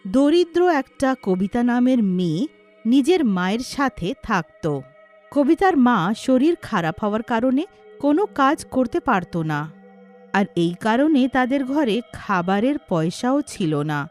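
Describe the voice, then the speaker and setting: A woman's voice: a little high, sing-song, a little slow, a little mellow, faint music behind. A woman working as a story narrator, reading a scripted moral tale.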